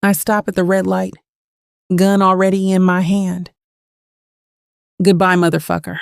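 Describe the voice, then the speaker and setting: An actress playing a cold, resolute, haunted avenger, narrating an audio drama in short, measured phrases. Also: female voice, mid-range voice, monotone, gravelly, clear, resonant tone. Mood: cold, focused. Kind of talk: first-person audio drama narration and dialogue.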